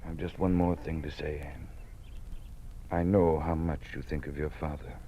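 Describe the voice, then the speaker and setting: Elderly American dean, very low voice, fearful yet fatherly loyalist, formal 1950s radio drama, noisy vintage recording. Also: little pitch movement, normal speed, warm tone, dull audio. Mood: grave, cautioning. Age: older. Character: old male college dean, fearful, resigned party loyalist.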